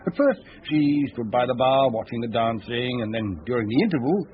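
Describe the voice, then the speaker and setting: A man's voice: mid-range voice, animated, moderate pace, natural voice. Radio drama investigator, male actor: diligent, factual, methodical, mid-range voice on a dulled recording. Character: diligent, precise male investigator reporting to his chief. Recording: dull, muffled radio sound.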